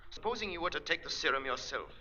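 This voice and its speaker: Unseen crime-fighter: very forceful, bright voice, persuasive and mocking, 1930s radio melodrama, muffled vintage sound. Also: male voice, fairly high pitch, lively intonation, quick pace, heavily acted. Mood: coaxing, insinuating. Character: shrewd, commanding, invisible crime-fighting avenger.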